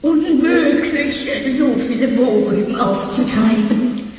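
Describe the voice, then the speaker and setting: An actor playing a centuries-old, hapless castle ghost with a drawn-out, plaintive wail in a children's audio play. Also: high voice, a little mellow. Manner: drawn-out, wailing. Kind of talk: German audio play for children, cartoon acting. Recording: cavernous long reverb, distant, faint noise.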